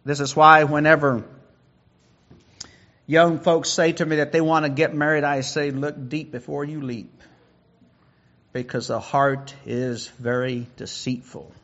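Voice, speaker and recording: A man's voice: fairly high pitch, level tone, measured pace, pauses often, fairly bright tone, muffled recording. A man preaching a sermon who often repeats words as he builds a point.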